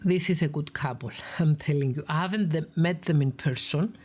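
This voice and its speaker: A woman's voice: deep voice, normal speed, darkish tone, slightly rough. A woman psychologist with a Greek Cypriot accent, reading out a viewer's email and commenting on it in an earnest, emphatic way, sometimes dropping to a whisper for stress. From Cyprus. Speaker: psychologist and YouTube host.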